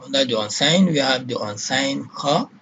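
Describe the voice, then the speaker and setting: A man's voice: medium pitch, lively intonation, moderate pace, a bit bright, a little hiss or hum. A man with a Nigerian accent teaching a programming class, asking questions and checking in with "okay?". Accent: Nigerian English. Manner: checks in with repeated "okay?", asks and answers questions. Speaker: lecturer. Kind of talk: classroom lecture.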